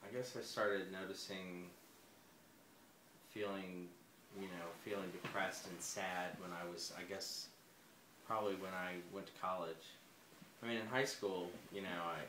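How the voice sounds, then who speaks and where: A man in his forties being interviewed, talking in a hesitant, soft-spoken way with many fillers and restarts. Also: deep voice. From United States. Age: 40s. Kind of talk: personal interview. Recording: echoey room, faint ambient noise.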